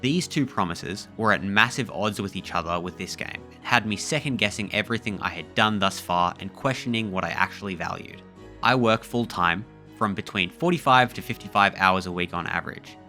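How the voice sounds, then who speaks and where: A man reading a scripted video essay voiceover in a measured, reflective way. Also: sits low, pushed and bright, faint music behind.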